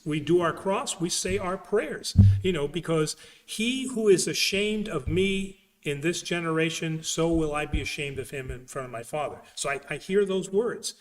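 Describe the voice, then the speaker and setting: A man speaking as a priest in a conversational religious question-and-answer session, emphatic and halting, often repeating phrases as he explains. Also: fairly high pitch, a bit bright. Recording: echoey hall sound, distant mic.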